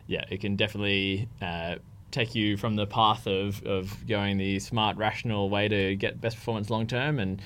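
A man's voice: fairly low pitch, fairly even intonation, moderate pace, a bit bright, a little hiss or hum. A man, a physiotherapist co-host in a casual chat, speaking hesitantly with many fillers.

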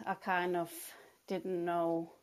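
Flat low-voiced woman. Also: takes it slowly. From Czech Republic. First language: Czech. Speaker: guest artist.

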